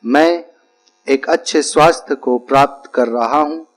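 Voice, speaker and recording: A man giving guided relaxation instructions as a yoga nidra teacher, speaking calmly and deliberately in short, repeated phrases with pauses. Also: on the high side, faint music behind.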